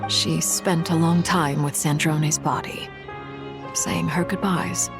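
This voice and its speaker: An actress playing a composed, formal noblewoman in a video game, speaking in a measured, restrained and solemn way. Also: low-pitched, steady tone. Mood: gentle, grave. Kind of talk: dramatic video game dialogue. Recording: prominent music behind the voice.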